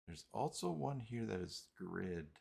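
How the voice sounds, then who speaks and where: A man hosting an unscripted live software tutorial, talking haltingly as he thinks aloud while working. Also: on the low side, sing-song, creaky, slightly rough. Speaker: host of live tutorial stream.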